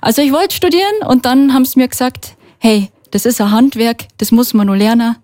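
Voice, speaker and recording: A woman's voice: fairly high pitch, brisk, a little mellow, no echo, clean studio sound. A thirty-year-old woman from Bavaria with a Bavarian accent, a band singer answering interview questions in a friendly, chatty way with hesitant fillers.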